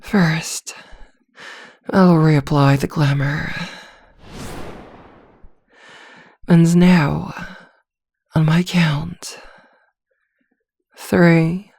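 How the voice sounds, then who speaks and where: A woman playing a seductive, domineering female vampire hypnotist in an ASMR roleplay, speaking softly, deliberately and with teasing command. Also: low voice, fairly even intonation, gravelly, breathy edge, dry recording, clean studio sound, heavily acted. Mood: commanding, hypnotic.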